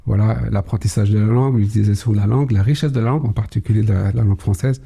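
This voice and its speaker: Gravelly, dark-voiced man, measured and hesitant. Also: on the low side, fairly even intonation. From Algeria, Kabylie. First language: Kabyle. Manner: slow, measured, many hesitations. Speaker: guest, cybersecurity head. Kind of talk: podcast interview.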